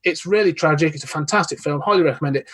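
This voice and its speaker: Husky-voiced man in podcast chat. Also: a little high, very fast, a bit bright. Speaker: podcast co-host. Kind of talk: casual chat.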